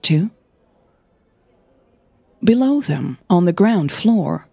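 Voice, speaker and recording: A woman narrating scripted fiction in a measured, intimate storytelling manner. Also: deep voice, gravelly, warm tone, slightly rough, no echo. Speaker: audio drama narrator.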